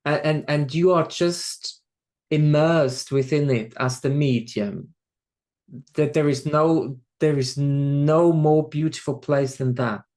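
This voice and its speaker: A man's voice: fairly high pitch, slow, a little mellow. A man with a Swiss accent, a medium being interviewed on a podcast, speaking reflectively and often restarting and repeating words. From Switzerland.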